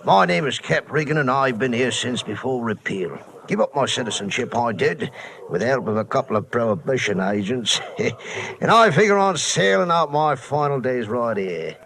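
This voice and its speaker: An actor playing a nosy old expatriate sea captain, folksy and gravelly, in a vintage radio drama. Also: male voice, medium pitch, expressive, moderate pace, a bit bright. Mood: boastful, folksy. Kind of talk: 1940s radio acting. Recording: noisy vintage radio recording.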